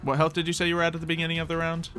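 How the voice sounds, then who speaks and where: Bright-voiced male game master. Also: a little high, monotone, rapid-fire. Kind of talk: casual tabletop game narration. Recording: faint music underneath. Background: faint background music.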